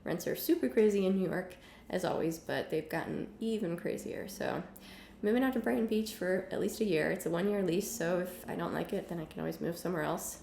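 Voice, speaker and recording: A young woman teaching a casual music lesson at home, hoarse from illness, chatty with many ums and the odd cough. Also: fairly low pitch, brisk, few pauses, a little mellow, roomy sound, slight background noise. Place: home room with piano and guitars.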